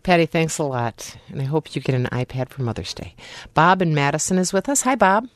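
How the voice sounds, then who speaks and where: Radio call-in host, man, brisk and friendly, close dry mic. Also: fairly high pitch, sing-song, no echo.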